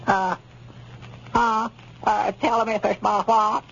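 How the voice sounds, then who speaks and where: High pitched southern country bumpkin, hesitant and rambly, old muffled noisy radio show. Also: male voice, level tone, takes it slowly, creaky, a bit bright, heavily acted. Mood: flustered, rambling. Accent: rural Southern US. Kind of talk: 1930s radio comedy acting.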